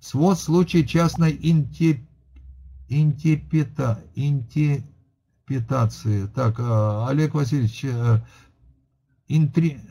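A man's voice: medium pitch, takes it slowly, gravelly, pauses often, warm tone, breathy edge. A middle-aged man hosting a webinar from a desk on a video call, lecturing with many fillers and restarts, and reading chat questions aloud to answer them. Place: indoor room, at a desk. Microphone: webcam on a video call.

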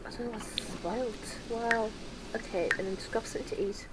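A woman's voice: a little high, steady tone, fairly quick, very noisy. A woman with a cold, casually commenting on her gameplay and talking to her characters. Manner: chatty, talks to her game characters. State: sick with a cold. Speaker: let's play host.

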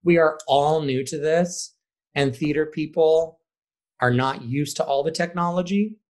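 A man speaking as a high school theater teacher in a conversational podcast interview, with an animated storytelling manner. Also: unhurried.

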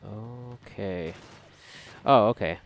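A man giving casual, reactive commentary while gaming, sarcastic and foul-mouthed, with a gravelly voice. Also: lowish voice, extremely slow, highs cut off. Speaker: gamer recording a playthrough. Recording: noisy, game gunfire behind voice. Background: video game gunfire and ambience.

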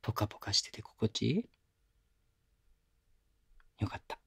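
A man playing a young boyfriend who coaxes and pampers his partner, talking in rough casual slang softened with gentle whispers, recorded close on a binaural mic. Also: measured pace, natural voice. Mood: tender, teasing. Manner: soft close whispers at times. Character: young male boyfriend, rough-spoken but doting caretaker. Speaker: voice actor. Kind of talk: Japanese girlfriend-experience roleplay audio drama.

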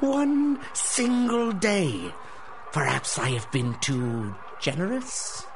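An actor playing a menacing, mock-merciful lord of the rats in a radio drama, pompous and taunting. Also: male voice, sits high, sing-song, noisy background, exaggerated voice. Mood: patronizing menace. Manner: pompous, taunting, drawn-out questioning. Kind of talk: 1930s-style radio drama acting.

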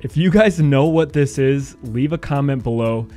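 A man's voice: mid-range voice, normal speed, mellow, soft background music. A man giving a casual voice-over as host of a car detailing video.

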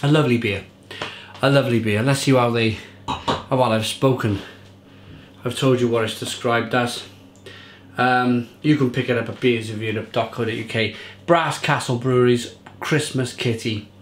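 A man's voice: mid-range voice, steady tone, normal speed, roomy sound, a little hiss or hum. A man in his thirties chatting to camera at home in his kitchen, reviewing a beer with enthusiasm. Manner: chatty, enthusiastic, restarts and fillers. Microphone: fixed camera at table.

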